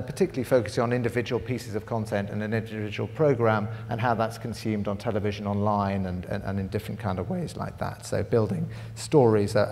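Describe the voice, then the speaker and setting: Low, gravelly man speaking in a hall. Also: darkish tone. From United Kingdom. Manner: measured, with frequent "uh" fillers. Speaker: panel speaker, head of audiences. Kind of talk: conference panel talk. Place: conference hall. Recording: large hall reverb.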